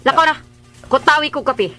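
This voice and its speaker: Bossy, sharp-voiced Bisaya matron. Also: female voice, sits high, moderate pace, soft background music. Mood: scolding. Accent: Filipino, Bisaya. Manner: scolding, imperious. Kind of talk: Filipino radio drama acting.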